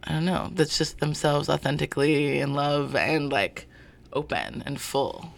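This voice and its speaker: A man being interviewed as a guest about his work, speaking in a thoughtful, searching way with many pauses and fillers. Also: on the high side, gravelly. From born in North Carolina, family from Zimbabwe. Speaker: guest, performer of a show. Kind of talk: reflective interview talk.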